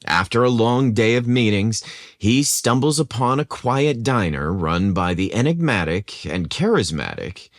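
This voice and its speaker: Slow-paced man narrating, voice swooping expressively. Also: on the low side, lively intonation, dry recording, clean studio sound. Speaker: podcast host and narrator. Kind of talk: scripted story narration with teasing, suggestive delivery.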